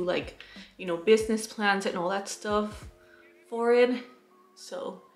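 A woman's voice: medium pitch, a bit bright. Chatty young woman vlogging in a roomy bedroom. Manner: chatty, filler-heavy, addresses viewers as "you guys". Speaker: beauty vlogger. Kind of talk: casual chat to camera. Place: small bedroom, indoors.

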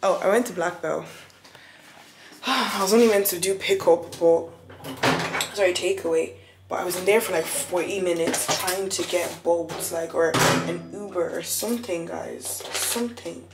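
A young woman in her twenties talks straight into her phone at arm's length, rambling through her day with a tired, laughing ease. Her voice sits a little low. The room gives it a noticeable echo, with steady noise behind her. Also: moderate pace. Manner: chatty, rambling, laughs often. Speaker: vlogger. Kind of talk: casual vlog chat to camera. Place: indoors at home, bedroom. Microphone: phone held at arm's length.

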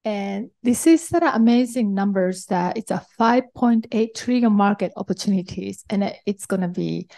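A woman's voice: mid-range voice, moderate pace, gravelly, few pauses, dark tone. A middle-aged woman with a Japanese accent, presenting to a conference audience as an investor, hesitant with frequent ums and restarts. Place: conference hall.

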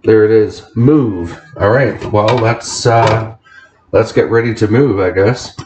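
A man's voice: lowish voice, slow pace, noisy background. A man in his thirties chatting casually to viewers as a vlog host, recorded on a camera mic in a home kitchen.

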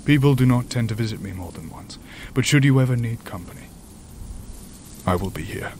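A man's voice: medium pitch, expressive, a little mellow, slight background noise, natural voice. Man playing a solemn, formal imprisoned creature. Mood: regretful, courteous. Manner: formal, measured, grave. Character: polite, solemn ancient male creature, imprisoned and doom-prophesying. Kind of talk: sci-fi horror audio drama acting.

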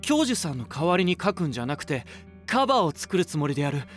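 Earnest, expressive young rocker. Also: male voice, on the high side, quick pace, faint music behind. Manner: polite, youthful, serious. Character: earnest, polite young male rock vocalist, thoughtful and self-doubting. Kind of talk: Japanese anime voice drama acting.

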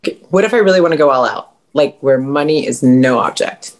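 A woman acts a shallow, eager customer in a satirical comedy. Her voice is low for a woman, and her delivery is that of a comedic script read. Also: expressive, brisk.

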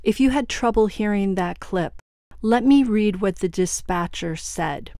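Woman, medium-pitched voice, scripted true-crime narration, pointed and questioning, dry recording. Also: lively intonation, unhurried, mellow, dry recording.

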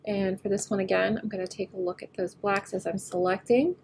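Woman, slightly nasal, recovering from a cold, gravelly fry, faint background hiss, casual tutorial talk. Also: medium pitch, fairly even intonation, brisk. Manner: thinks aloud while working, often trailing off quietly. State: getting over a head cold. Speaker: photo editing instructor. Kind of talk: screen-recorded editing tutorial.

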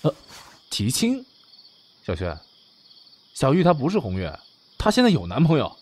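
A man's voice: medium pitch, huge pitch swings, moderate pace, a little mellow, slightly rough. An actor in an audio drama playing a modern young man who is doting, exasperated and secretly lovesick. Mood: surprised, admonishing.

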